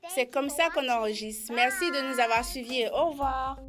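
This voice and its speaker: A woman with a high voice introduces herself to young viewers as a cartoon voice actress. She speaks into a studio microphone, with music playing behind her. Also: moderate pace. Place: recording studio.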